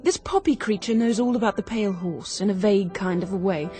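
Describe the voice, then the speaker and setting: An actress playing a keen, quick-witted young woman turned amateur sleuth in a radio drama, brisk, confident and persuasive. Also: mid-range voice, mellow, soft background music, lightly acted. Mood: excited, intent.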